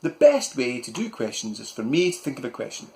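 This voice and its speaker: A man's voice: mid-range voice, very animated, brisk, close to an everyday voice. A man voicing a blustering, self-important middle-aged uncle who barks questions. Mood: pompous. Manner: loud, barking, self-important.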